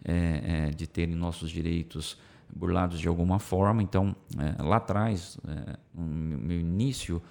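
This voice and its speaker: A man with a very deep voice tells his story unhurriedly, his Brazilian Portuguese carrying a country twang from years on the road. He is from São Paulo state and talks in a loose, casual interview style. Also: unhurried, vocal fry. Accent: Brazilian Portuguese with a country twang picked up from the Center-West. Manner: storytelling, relaxed, many fillers. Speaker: music publisher guest.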